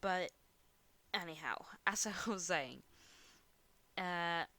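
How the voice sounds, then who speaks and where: A Swedish woman with a slightly low voice rambles through a casual drawing vlog, giggling and poking fun at herself. English is her second language, and she speaks close into a headset mic at home. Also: takes it slowly, pushed and bright, no room sound. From Sweden. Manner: rambling, giggly, self-deprecating. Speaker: art channel host. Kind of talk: casual chat over drawing. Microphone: headset close to mouth.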